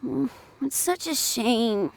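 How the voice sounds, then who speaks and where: A woman voicing an excitable, sweet and affectionate little child in a video game. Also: on the high side, slow, vocal fry, a little hiss or hum, exaggerated voice. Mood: earnest, grateful. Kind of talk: video game cutscene acting.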